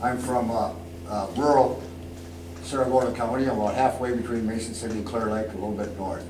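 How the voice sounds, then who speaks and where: A man's voice: medium pitch, steady tone, fairly quick, far from the mic. A Midwestern American man with a mid-range voice speaks off the cuff at a public meeting. The room is echoey and noisy, and the microphone is some way off. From Iowa, United States. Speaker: resident speaking at public comment. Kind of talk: unscripted public remarks.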